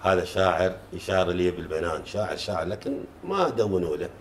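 Middle-aged man, folk poet, quick-talking, reciting verse in an echoey room. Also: low voice, slight background noise. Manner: measured, chanting recitation of verses. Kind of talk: reciting folk poetry in conversation. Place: living room sofa, indoors. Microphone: lapel mic. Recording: roomy echo.

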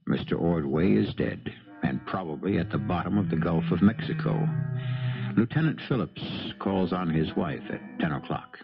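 Deep, gravelly American radio mystery host. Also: male voice, animated, dull audio, over loud music. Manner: measured, portentous. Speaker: host and narrator. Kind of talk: dramatic scripted narration, 1970s radio mystery.

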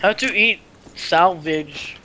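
A man's voice: sits high, takes it slowly, background noise. A man trash-talking other players over voice chat during a shooter game. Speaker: gamer. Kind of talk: casual gaming banter.

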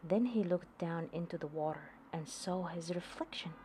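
A woman reading a picture book aloud to young children, in a deliberate storytelling delivery. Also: on the low side, dark tone, a little hiss or hum, low-quality recording. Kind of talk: read-aloud storybook narration.

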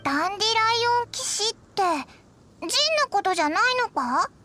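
A woman's voice: animated, fairly quick, sharp tone, faint music behind, full character voice. A woman voicing a small, caring fairy companion with childlike, blunt speech in a Japanese anime game. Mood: curious. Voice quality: squeaky, childlike. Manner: blunt, childlike casual phrasing.

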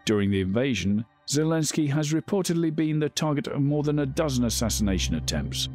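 A man narrates a documentary from a script. His pitch rises and falls in a lively way, and clear music plays under his voice. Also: a little fast.

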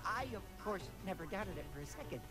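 A small bookish critter in a cartoon fantasy game, high-pitched and fussy. His anxious, excitable delivery leaps up and down over prominent music. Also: quick pace. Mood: excited relief. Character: small nervous bookish mole-like creature, fussy assistant. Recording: game audio with loud music.

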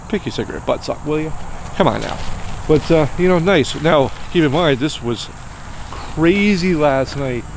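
A man in his forties vlogging outdoors from an RV campground, chatty and excitable, rambling with restarts. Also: fairly high pitch, noisy background. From New Hampshire. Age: 40s. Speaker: RV travel vlogger. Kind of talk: casual vlog talk to camera.

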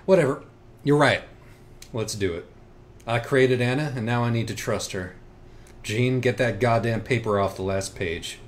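A man's voice: mid-range voice, moderate pace, pauses often, a little hiss or hum, lightly acted. A man playing an anguished, self-absorbed young writer in audiobook dialogue, wordy and sardonic, circling through evasive self-questioning. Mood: agitated.

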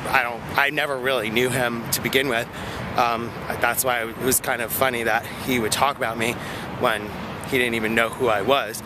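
Breathless man vlogging outdoors on a phone. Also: medium pitch. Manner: chatty, rambling with many fillers. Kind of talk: casual walking vlog. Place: outdoor park path. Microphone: phone held at arm's length. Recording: noisy outdoor phone recording.

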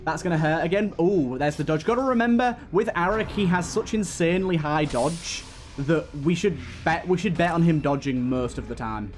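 A man with a slightly high voice hosts a let's-play in a casual style. He thinks aloud through his tactics, with music playing behind him. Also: expressive, normal speed. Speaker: gaming video host.

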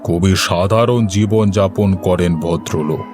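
A man's voice: deep voice, measured pace, music in the background. A man narrating a scripted horror story for a cartoon.

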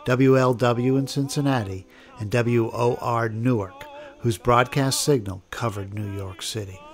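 An American man reading scripted documentary narration. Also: mid-range voice.

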